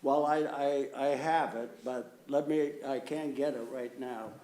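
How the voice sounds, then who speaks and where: Slow, insistent man in his nineties. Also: mid-range voice, steady tone, warm tone, reverberant. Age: 90s. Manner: insistent, repeatedly asks if listeners understand. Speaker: resident giving public comment. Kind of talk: unscripted public comment at a meeting. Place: municipal meeting room.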